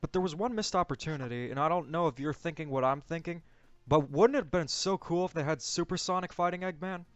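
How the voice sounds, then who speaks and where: A man's voice: fairly high pitch, quick pace, dry recording. A man giving his opinions in a casual movie discussion as a co-host. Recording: dull, lo-fi, close dry sound.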